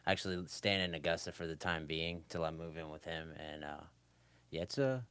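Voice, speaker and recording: A man from the Atlanta area chatting casually as a podcast guest, informal and full of pauses. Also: rumbling low, fairly bright tone, clean tone, dry recording. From Atlanta area, Georgia, USA. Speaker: podcast guest, music producer. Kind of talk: casual chat about his life.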